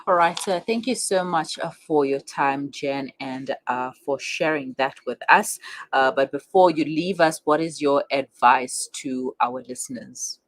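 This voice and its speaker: A woman's voice: rumbling low, measured pace, no room sound. Dry-sounding woman hosting radio. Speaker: radio host. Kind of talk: radio hosting, semi-scripted talk.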